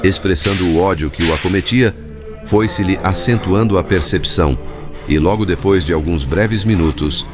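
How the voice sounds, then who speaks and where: A man reading scripted audiobook narration in a formal, measured way. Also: low-pitched. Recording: music bed behind narration.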